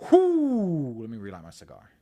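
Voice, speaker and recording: A man hosting a live stream, ranting to his audience in an angry, profane and emphatic way, swinging between shouting and muttering. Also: medium pitch, huge pitch swings, moderate pace, heavy background noise. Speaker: livestream host.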